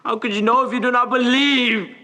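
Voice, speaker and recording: A teenage male student acting on stage as a gentle, earnest outcast who speaks in a halting stammer. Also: medium pitch, steady tone, lightly acted. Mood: questioning. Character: gentle, earnest, deformed young man seeking acceptance. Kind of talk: high school stage play acting.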